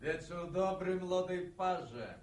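A man's voice: high-pitched, lively intonation, takes it slowly, darkish tone. An actor playing a smirking, needling village man in a Polish radio drama, mock-gallant and mocking in manner. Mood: sly teasing. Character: smirking, needling village man, ex-corporal, teasing a courting couple.

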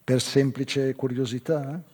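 A man playing an ageing, proud Azorean whaler in a stage reading, husky, slow and wistful. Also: medium pitch, steady tone, mellow, voice pushed up. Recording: long hall reverb, faint music.

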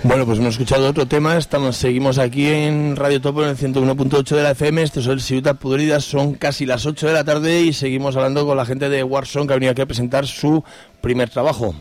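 A man's voice: mid-range voice, little pitch movement, fast talker, hardly any pauses, darkish tone. A man with an accent from Spain hosting an informal radio interview, with hesitant, rambling phrasing. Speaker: radio host interviewing a band. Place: radio studio.

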